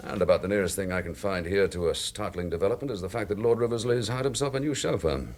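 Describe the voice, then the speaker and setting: An actor playing a dry, dogged chief inspector in a radio drama, with a British accent and a brisk, wry, authoritative manner. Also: male voice, deep voice, a little mellow. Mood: musing, dogged.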